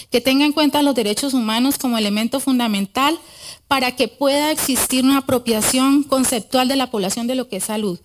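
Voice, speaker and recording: A middle-aged woman giving an academic conference talk at a podium microphone in a large auditorium, earnest and often pausing to correct herself. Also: high-pitched, background noise. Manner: earnest, with frequent hesitations and self-corrections. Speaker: conference speaker. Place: large wood-panelled auditorium.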